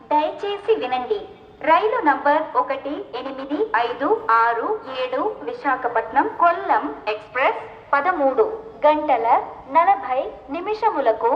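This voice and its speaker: An Indian-accented woman making formal automated railway station announcements in a measured, stitched word-by-word delivery, over a public address system. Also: high-pitched, a bit bright. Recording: echoey station PA, muffled, faint noise.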